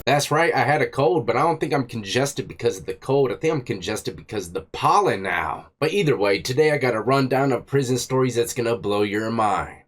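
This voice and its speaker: Man, congested, casual true crime host, blunt and slangy, medium pitch. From Virginia. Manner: blunt, slangy, animated asides.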